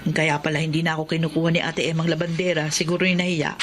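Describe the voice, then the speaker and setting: A woman's voice: low-pitched, little pitch movement, fast talker, a little hiss or hum, lightly acted. A woman playing a caring, talkative working-class mother in a radio drama, with a Filipino accent. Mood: gossiping.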